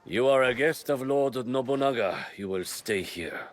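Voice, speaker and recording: An actor playing a stern samurai retainer in a video game, giving clipped, commanding instructions. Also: male voice, medium pitch, animated, normal speed, a little hiss or hum, natural voice. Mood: firm. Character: stern samurai retainer, firm guide and minder. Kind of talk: dramatic video game acting.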